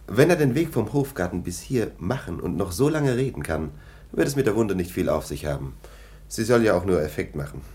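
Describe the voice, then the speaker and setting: An actor in a radio play playing a brisk, sceptical police lieutenant with a curt, commanding manner. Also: male voice, low-pitched, very animated, a little mellow. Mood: dismissive, sure. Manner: curt, interrogating, giving orders. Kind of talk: German radio play acting.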